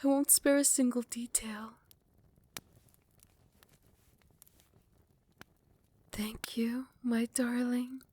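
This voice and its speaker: A woman's voice: a little high, steady tone, a little slow, husky, dry recording. A woman voicing a possessive female vampire queen in an ASMR roleplay, moving from close, tender whispers to furious threats. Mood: pleading. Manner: soft close whispers turning to furious shouting. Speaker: voice actor.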